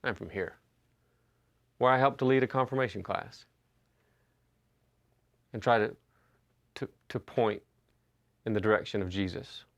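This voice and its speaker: Gravelly low-voiced pastor. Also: male voice, unhurried, pauses often. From Western North Carolina. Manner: conversational storytelling with frequent uh and restarts. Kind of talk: sermon. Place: church sanctuary.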